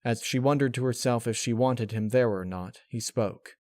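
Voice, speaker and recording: A man reading a dark fan-fiction story aloud as narrator, in a dramatic scripted style. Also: medium pitch, normal speed, no echo, clean studio sound.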